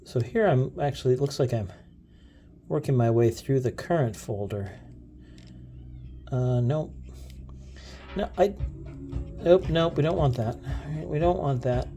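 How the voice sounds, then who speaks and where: A man's voice: mid-range voice, a little slow, pauses often, mellow, faint music behind. A man hosting a casual, unscripted gadget demo, hesitating and thinking aloud as he fiddles. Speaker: gadget video host.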